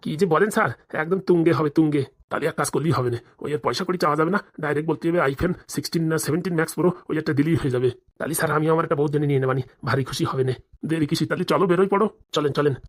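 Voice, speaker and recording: A man's voice: on the high side, very fast, natural voice. A man voicing a greedy, scheming village policeman in a comic cartoon, with conspiratorial, rustic, colloquial speech.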